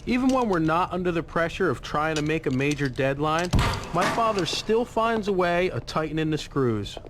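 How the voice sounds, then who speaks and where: A man's voice: fairly high pitch, big pitch swings, moderate pace, a bit bright. A man playing a put-upon son working in his father's bike shop in a video game, casual and explanatory. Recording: reverberant, faint background noise.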